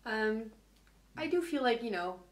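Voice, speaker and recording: Woman, low voice, casual advice video, hesitant with fillers, far off, echoey room. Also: expressive, a little slow. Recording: video replayed into a room mic, echoey and distant.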